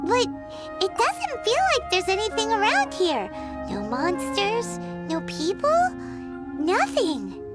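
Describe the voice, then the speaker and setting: Very high, squeaky little fairy girl. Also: wildly swooping pitch, slow pace, heavily acted. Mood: puzzled. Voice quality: squeaky, childlike. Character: tiny chatty childlike female fairy companion, curious, food-loving. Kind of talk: video game voice acting. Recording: music playing behind the voice. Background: prominent game music.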